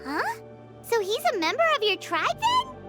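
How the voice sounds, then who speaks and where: A woman voicing a small, curious, excitable fairy companion in a video game, with a squeaky childlike voice. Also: moderate pace, over loud music, full character voice. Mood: startled, excited.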